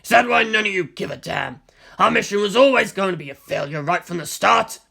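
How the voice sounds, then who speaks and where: A narrator voicing a gruff, aggressive male special forces team leader in an audiobook story, growling out commands. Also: medium pitch, lively intonation, fairly quick, sharp tone, heavily acted. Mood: grim resignation. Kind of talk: dramatic audiobook character voice.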